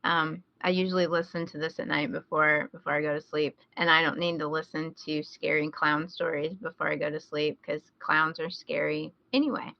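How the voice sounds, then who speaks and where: Low-pitched woman chatting on a podcast. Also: level tone, moderate pace, vocal fry, few pauses, no echo. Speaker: podcast host. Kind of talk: casual podcast chat, recommending. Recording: slightly dull, lo-fi close recording.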